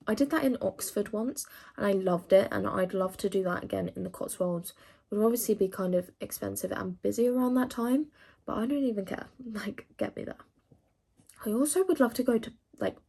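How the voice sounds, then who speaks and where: A woman's voice: mid-range voice, vocal fry, warm tone. A teenage woman from England chatting casually to camera, rambling with lots of fillers as she answers questions. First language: English. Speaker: vlogger.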